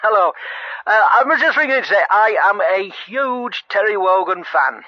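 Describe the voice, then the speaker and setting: A man's voice: high-pitched, expressive, normal speed, no room sound. An actor playing a giggly, eager male superfan calling in to a spoof radio phone-in. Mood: giggly enthusiasm. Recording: dull, dry phone line.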